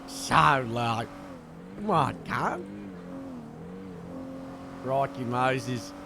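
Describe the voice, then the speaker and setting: A man's voice: mid-range voice, expressive, extremely slow, vocal fry, noisy background, full character voice. A man putting on a put-on Australian accent to play a brash, boozy young Australian bloke, swearing constantly and drawing out his words. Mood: boastful. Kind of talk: in-character voice in a roleplay game stream.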